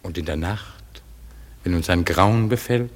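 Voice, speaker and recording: An actor playing a stately, skeptical duke in a classic radio play, declaiming verse in a measured way. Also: male voice, sits low, long reverb tail. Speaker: Theseus.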